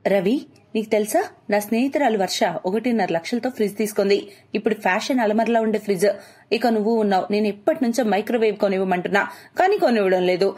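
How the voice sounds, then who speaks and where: Woman playing young wife, story character, discontented, insistent, complaining, medium pitch. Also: fast talker, a little mellow, lightly acted. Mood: coaxing.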